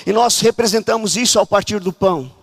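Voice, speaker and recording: Fervent high-voiced Brazilian preacher. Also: male voice, a little fast, vocal fry. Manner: fervent, often shouting, urging the congregation. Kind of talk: charismatic sermon. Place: church conference hall.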